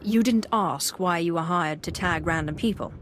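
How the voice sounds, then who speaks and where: An actress playing a cool, wry Sith apprentice in a video game, with a dry, sardonic delivery. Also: female voice, lowish voice, moderate pace, a little hiss or hum, natural voice. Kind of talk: dramatic video game acting.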